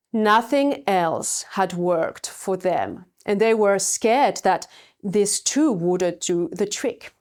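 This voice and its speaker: A woman's voice: medium pitch, a little mellow. A woman with a French accent, a language coach telling her own story in a webinar, in an earnest, personal way. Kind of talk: webinar talk.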